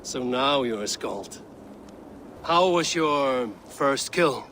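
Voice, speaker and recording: An actor playing a stoic, shrewd young Viking raider in a video game, speaking in measured, terse, formal phrases. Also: male voice, mid-range voice, lively intonation, fairly bright tone. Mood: guarded, measured. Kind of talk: cinematic video game acting. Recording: faint background noise.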